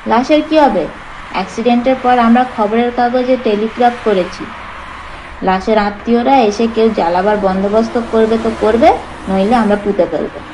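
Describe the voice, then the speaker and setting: A woman's voice: on the high side, moderate pace, darkish tone, a little hiss or hum, close to an everyday voice, low-quality recording. A woman voicing a brusque male police inspector who talks in a blunt, businesslike way in an audio story.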